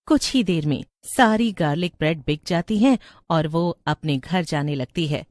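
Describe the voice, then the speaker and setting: A woman's voice: low-pitched, expressive, moderate pace, darkish tone, no echo, studio quality. A woman narrating a scripted story as the storyteller.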